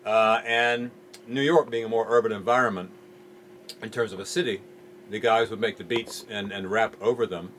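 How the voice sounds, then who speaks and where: Middle-aged English man raised in Jamaica, low voice, laid-back and halting interviewee, faint noise behind. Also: steady tone, a bit bright. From England, raised in Jamaica. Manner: easygoing, hesitant with many ums. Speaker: music producer guest. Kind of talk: relaxed interview answers.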